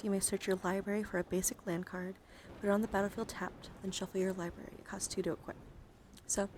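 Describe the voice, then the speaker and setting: Female ASMR stream host, mid-pitched, soft casual commentary slipping into whispery murmurs, close mic over audible background noise. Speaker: livestream host. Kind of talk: soft-spoken ASMR card show-and-tell.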